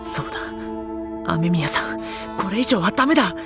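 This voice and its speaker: High, youthful, earnest schoolboy. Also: male voice, expressive, unhurried. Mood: desperate pleading. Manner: earnest, introspective. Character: sensitive, anxious high-school boy, narrator and hero. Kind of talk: dramatic first-person narration and dialogue. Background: music.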